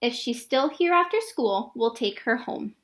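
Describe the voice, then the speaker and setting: A kind, encouraging storybook mother, voiced by a woman reading aloud. She speaks gently and approvingly in a high voice with lively intonation. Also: a little slow. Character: kind, encouraging mother of a young girl in a picture book.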